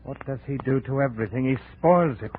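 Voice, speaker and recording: An actor playing a big, grimly determined jungle trader with a brooding, menacing manner in a 1950s radio drama. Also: male voice, mid-range voice, a little fast, natural voice. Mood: bitter, intense. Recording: narrow, noisy 1950s radio recording.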